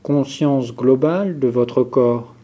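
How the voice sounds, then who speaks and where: A man leads a guided relaxation as a yoga nidra instructor. His voice is soft-edged and mellow, at a medium pitch. He speaks very slowly and calmly, setting out each instruction in measured steps. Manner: calm, measured, instructive, listing step by step.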